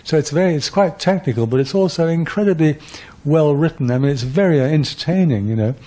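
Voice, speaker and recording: A man's voice: fairly high pitch, expressive, a little fast, mellow. A man in his seventies, a guest lecturer answering audience questions in a wry, rambling, self-deprecating way. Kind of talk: conversational lecture Q&A.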